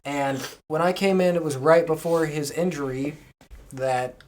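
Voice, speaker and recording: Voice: mid-range voice, measured pace, darkish tone, a little hiss or hum. A podcast co-host chatting casually and enthusiastically as a wrestling fan.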